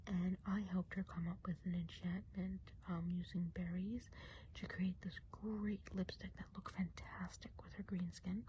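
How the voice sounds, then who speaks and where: A woman playing a friendly, chatty Christmas witch of the woods in a gentle, breathy ASMR whisper. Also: lowish voice, flat delivery, highs cut off, a little hiss or hum, natural voice. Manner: gentle, unhurried, chatty and hesitant. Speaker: ASMR role-play host. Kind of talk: whispered ASMR role play.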